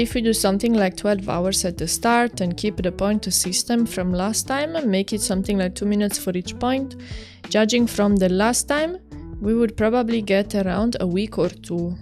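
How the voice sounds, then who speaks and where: A woman's voice: mid-range voice, darkish tone. A woman from Italy with an Italian accent, chatting casually on a livestream while she draws; she is chatty and giggly, often trailing off and starting her sentences again. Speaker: streamer.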